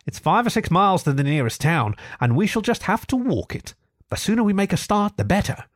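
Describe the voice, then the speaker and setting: A man voicing a brisk, no-nonsense storybook water rat, stern, firm and exasperated. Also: sits high, big pitch swings, dry recording, clean studio sound, pitched above the performer's own voice. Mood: firm, grim. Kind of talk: storybook character reading.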